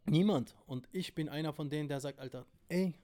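A man's voice: a little high, fairly quick, warm tone. A man chatting casually as a podcast guest, in slangy, emphatic street talk with plenty of swearing. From abroad, came to Germany late. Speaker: interview guest, corner shop owner.

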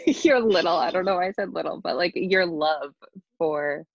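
A woman with a deep, chesty voice with some vocal fry. She teases her co-host and giggles as she chats. The video call audio is dull and dry. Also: moderate pace, no room sound. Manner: teasing, giggly. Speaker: podcast co-host. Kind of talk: casual chat over video call.

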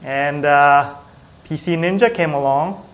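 A man from Singapore chatting casually as an interview guest, with hesitant, halting phrasing. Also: on the high side, fairly bright tone. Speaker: small business owner, IT technician, interview guest.

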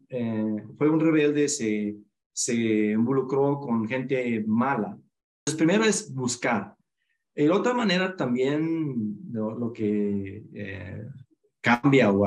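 A man's voice: medium pitch, darkish tone, rough recording. Hesitant Mexican man, upbringing. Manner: hesitant, many restarts and fillers. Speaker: guest. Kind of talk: video call interview.

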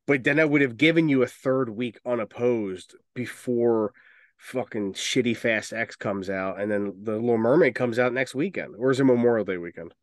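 A man's voice: mid-range voice, normal speed, no echo, clean studio sound. A man co-hosting a casual podcast, talking in a rambling, opinionated way with some swearing. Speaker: podcast co-host. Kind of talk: casual chat about movies and video games.

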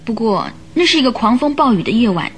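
A woman's voice: fairly high pitch, big pitch swings, quick pace, soft background music. An actress in a radio drama playing an earnest, troubled young woman who gives careful, emotive accounts. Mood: earnest, recalling. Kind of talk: old Chinese radio drama acting.

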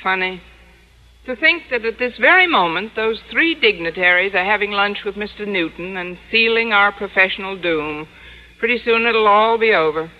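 A sardonic woman schoolteacher played by an actress in a vintage radio sitcom. She delivers everything dry and deadpan. The old recording is muffled and lo-fi. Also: mid-range voice, normal speed, natural voice. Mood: dry gloom. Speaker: lead actress and narrator. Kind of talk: 1940s-50s radio sitcom acting.

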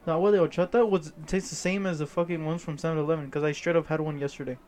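A slightly higher-pitched man chatting casually with his friends. He is blunt and profane, joking around between complaints. He talks plainly with no strong accent. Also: fairly even intonation, racing, a little mellow, a little hiss or hum. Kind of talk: casual chat among friends.

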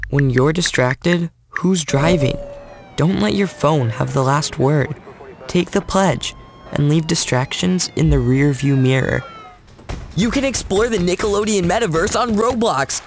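A young man, a teen or young adult voice actor, in a produced voice-over recording. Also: sits high. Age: teens to young adult. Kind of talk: commercial voice-over and testimonial. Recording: produced demo with music behind. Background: music.

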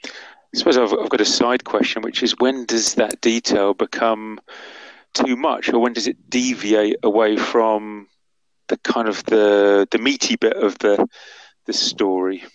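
A man's voice: lowish voice, fairly even intonation, a little slow, no room sound, lo-fi sound. A man co-hosting a podcast, hesitant and thinking aloud with restarts. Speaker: podcast co-host. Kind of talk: podcast discussion.

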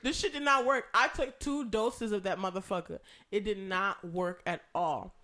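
Hoarse co-host, bright, swears freely, rambles through stories. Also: medium pitch, moderate pace. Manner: profane, rambling storytelling. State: hoarse, losing voice from a cough. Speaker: podcast co-host. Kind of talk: casual chat.

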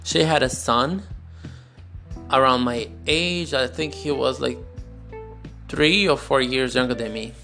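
A man's voice: mid-range voice, vocal fry, bright, forceful tone, faint music behind. A young Brazilian man with a Brazilian accent, a non-native English speaker, telling a personal story on his podcast in a candid, searching way, recorded on a phone. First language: Portuguese. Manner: slow, searching, candid, with pauses and restarts. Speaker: podcast host.

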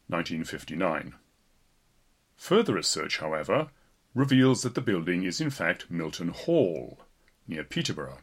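A man's voice: mid-range voice, husky. A man reading a written blog post aloud as scripted narration, in the role of a volunteer narrator. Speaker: volunteer reader of an audio blog.

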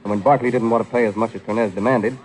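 An American actor playing a cool, sardonic, teasing private detective in a vintage 1940s radio drama. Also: male voice, fairly low pitch, fairly even intonation, racing, darkish tone, close to an everyday voice, low-quality recording. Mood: explaining. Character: wisecracking, cool-headed male private detective, flirtatious with women.

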